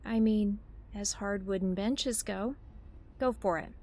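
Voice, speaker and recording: A woman's voice: medium pitch, slow pace, a little mellow. An actress playing a teasing, offhand young woman in an audio drama, speaking in a wry, informal, conversational way.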